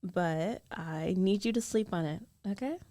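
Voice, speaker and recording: A woman playing a jealous, lovestruck young female roommate in an intimate ASMR roleplay, guarded yet tender, speaking with hesitant, emotional pauses. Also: mid-range voice, dark tone, dry recording, lightly acted. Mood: tired, soothing.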